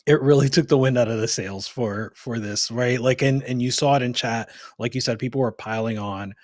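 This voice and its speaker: A man's voice: medium pitch, no room sound, studio quality. A man talking casually as a guest on a live podcast, laughing often and chiming in with short agreements. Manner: laughs often, quick affirming interjections. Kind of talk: casual live-stream chat.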